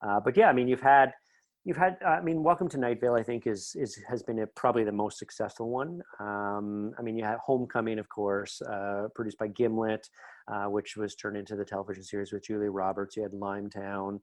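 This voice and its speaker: A man's voice: on the low side, steady tone, brisk, dry recording. A middle-aged Canadian man chatting casually and enthusiastically as an interview guest, going off on tangents. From Northern Ontario, Canada. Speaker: podcast guest, writer.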